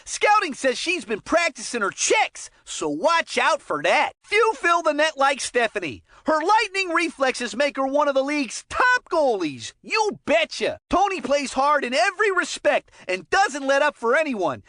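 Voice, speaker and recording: Excitable kid hockey announcer, cartoon game, very high pitch, swooping delivery, folksy, enthusiastic. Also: a little slow, hardly any pauses, no room sound, studio quality, exaggerated voice. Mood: upbeat, folksy. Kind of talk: scripted sports commentary.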